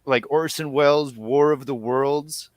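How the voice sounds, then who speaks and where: A man's voice: medium pitch, steady tone, measured pace, no echo. A man from Connecticut talking casually as an interview guest, in a rambling, self-correcting way. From United States, Connecticut. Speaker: podcast guest, audio comedy co-creator. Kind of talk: casual chat.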